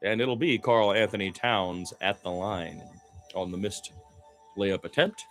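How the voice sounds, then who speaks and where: Excitable man calling live basketball. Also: a little slow, soft background music. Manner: excitable, swinging from low asides to loud calls; informal, opinionated, mildly profane. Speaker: basketball play-by-play commentator. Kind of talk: live radio play-by-play commentary.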